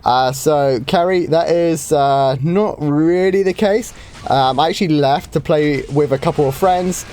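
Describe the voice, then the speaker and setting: Guy streaming a game, bit croaky from a cold, relaxed chatty q&a, lots of ums. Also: fairly high pitch, unhurried. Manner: chatty, easygoing, with frequent ums and light laughs. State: slightly hoarse from a starting cold. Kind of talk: casual live stream Q&A.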